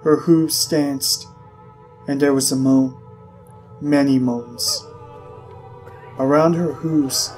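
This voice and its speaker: A man reading fiction aloud as a narrator, in an expressive, dramatic storytelling style. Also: mid-range voice, takes it slowly, long pauses, soft background music, poor audio. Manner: expressive, literary storytelling delivery.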